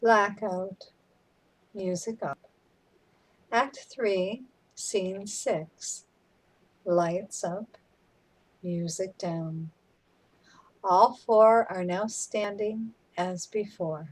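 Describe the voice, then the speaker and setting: A woman reads terse stage directions as a narrator. Her delivery is extremely slow and deliberate, in a mid-range voice with a scripted feel. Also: medium pitch, a little mellow, low-quality recording.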